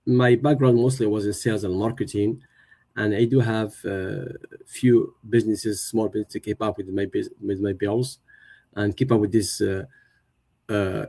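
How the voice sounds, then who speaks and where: Guest speaker: man, middle-aged, Moroccan accent, dark mellow tone, halting testimonial delivery. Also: lowish voice, gravelly. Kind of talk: personal testimonial on a livestream.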